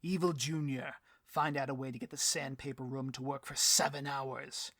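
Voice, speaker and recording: A man playing a vain, easily riled supervillain boss in a comedic audio roleplay, grandiose and melodramatic, with a husky voice. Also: mid-range voice, little pitch movement, normal speed, fairly bright tone, dry recording, clean studio sound. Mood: offended. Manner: grandiose, melodramatic, petulant speechifying.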